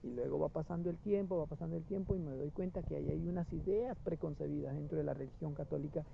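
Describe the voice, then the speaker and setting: A man with a gravelly, fry-heavy voice pitched a little high gives a reflective spiritual talk. He muses slowly, repeating phrases as he feels his way forward. The recording is dull and lo-fi with steady noise behind him. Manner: unhurried and searching, repeating phrases as he thinks aloud. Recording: dull lo-fi with clear background noise.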